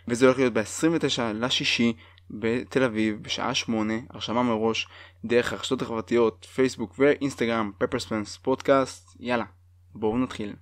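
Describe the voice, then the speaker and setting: A man's voice: mid-range voice, no room sound. A man from Israel, a podcast host giving a promotional introduction.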